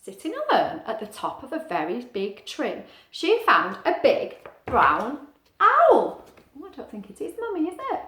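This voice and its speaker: Female picture-book reader, teacherly and chatty: bright, high-pitched, expressive, singsong delivery. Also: normal speed, echoey room. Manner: animated, singsong, asking little questions. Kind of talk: expressive children's story reading with chatty asides.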